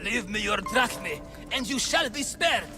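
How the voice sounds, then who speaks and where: An actor playing a pompous, silly fake Minotaur in a video game, with booming theatrical menace. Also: male voice, expressive, unhurried, music in the background. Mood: mock-menacing. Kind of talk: video game cutscene acting.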